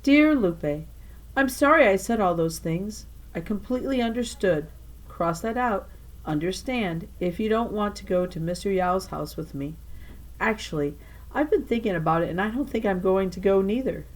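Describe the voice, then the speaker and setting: A woman's voice: medium pitch, expressive, normal speed, close to an everyday voice, rough recording. A woman voicing an earnest young schoolgirl in a children's novel, carefully dictating and correcting a letter. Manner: careful dictation with self-corrections, spelling out words.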